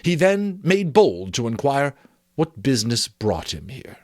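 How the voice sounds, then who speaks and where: A man narrating a storybook in a theatrical, expressive way. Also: medium pitch, a little slow, no echo, studio quality. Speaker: amateur audiobook narrator. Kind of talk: dramatic storybook narration with casual asides.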